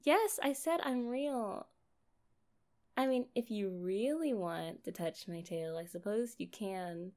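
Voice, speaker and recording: A woman voicing a kind, naive young mermaid in an ASMR roleplay, playful and chatty as she speaks straight to the listener. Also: medium pitch. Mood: curious, cautious. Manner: playful, chatty, expressive, drawn-out phrasing.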